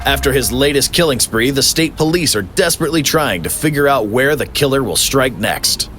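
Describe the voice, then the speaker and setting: A man's voice: medium pitch, expressive, a little fast, a bit bright, lightly acted. A man playing a dramatic TV crime show presenter, delivering broadcast lines in an emphatic announcer manner. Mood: showy. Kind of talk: broadcast presenting and news report. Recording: music behind the voice.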